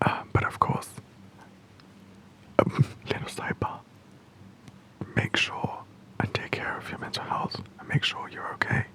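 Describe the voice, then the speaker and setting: A young adult shares a personal story entirely in a soft, breathy, unvoiced whisper, close and candid. The delivery swoops around a lot even while whispering, with a bright, crisp edge, and small laughs keep slipping in between sentences. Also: medium pitch, poor audio. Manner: candid, self-deprecating, often breaks into laughter. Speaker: storytelling vlogger.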